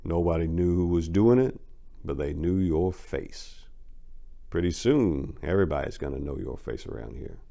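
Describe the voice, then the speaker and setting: Man as a low, folksy Southern crew boss. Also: animated, moderate pace, gravelly, warm tone. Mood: confiding, easygoing. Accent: American South. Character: smooth-talking Southern crew leader, amused, folksy.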